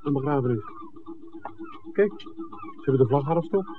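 A man's voice: fairly high pitch, huge pitch swings, a little slow. An actor playing an observant, discreet film-maker in a 1960s radio play, conversational and alert as he speculates. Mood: observant, puzzled. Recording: tinny, hissy 1960s radio recording.

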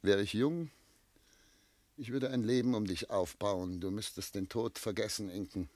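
A man's voice: lowish voice, moderate pace, darkish tone, no echo, lightly acted. An actor playing a tormented, self-doubting man of seventy in love, speaking solemn literary German lines in a classic radio play. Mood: resigned, tender. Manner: solemn, literary, declamatory phrasing.

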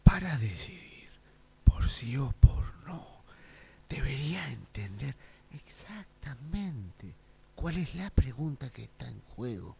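A man in a radio drama exercise playing a guarded, deliberate man demanding clarity, his voice breathy and creaky, with a low hum. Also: sing-song, warm tone, exaggerated voice. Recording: low hum and background noise.

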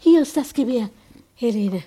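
An actress playing a timid, devoted, sentimental widow in a German radio play, plaintive, submissive and hesitant in manner. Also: female voice, fairly high pitch, animated, moderate pace, warm tone, slightly rough. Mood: wistful, devoted.

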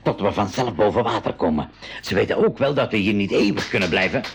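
An actor playing a worried but resolute uncle, an inventor and submarine captain, in a 1980s radio play with a gravelly, husky voice. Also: male voice, on the low side, animated, fast talker, a little hiss or hum.

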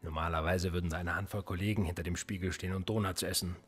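Radio-drama police interrogator, low voice, husky, dry and needling, faint music. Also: male voice, fast talker. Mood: controlled. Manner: calm, dry, needling questioning. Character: male police interrogator, cool, probing, sardonic. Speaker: police officer. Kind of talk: radio play acting.

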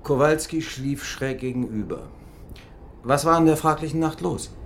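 An actor playing a sceptical, short-tempered investigator in a radio drama, questioning in clipped, pressing phrases. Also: male voice, on the high side, normal speed, a little hiss or hum, natural voice.

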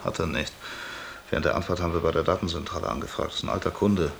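An actor in a radio drama playing a matter-of-fact emergency doctor who reports a case in a clipped, clinical way. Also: male voice, rumbling low, steady tone, very fast, creaky, background noise. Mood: businesslike, urgent.

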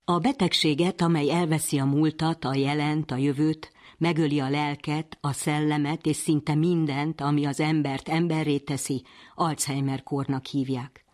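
Woman presenter for a science radio feature, scripted narration, distinctly low voice. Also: fairly even intonation, a little mellow. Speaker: presenter, interviewer.

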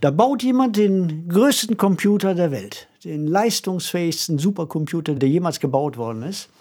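Husky, fairly high-voiced man chatting. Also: expressive, normal speed, darkish tone. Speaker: podcast co-host. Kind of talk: casual discussion.

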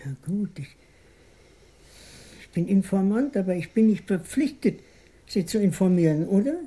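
An actor voicing a small, evasive police informant in a radio crime drama, hesitant and defensive, repeating words, with a husky voice. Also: medium pitch, animated, moderate pace, dark tone, close to an everyday voice. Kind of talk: 1980s German radio play acting.

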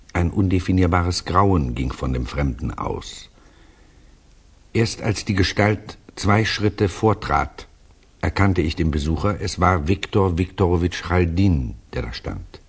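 A grave storyteller in a 1960s radio drama. His voice is low and somewhat husky. He recounts things in measured, literary phrases, deliberate and serious. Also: full character voice. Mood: grave, suspenseful.

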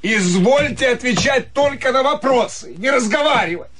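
Thin, high-voiced fat irritable doctor. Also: male voice, lively intonation, normal speed. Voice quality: thin, sharp voice. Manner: brusque, peremptory. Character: fat, rude, irritable middle-aged male country doctor. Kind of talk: theatrical radio story reading.

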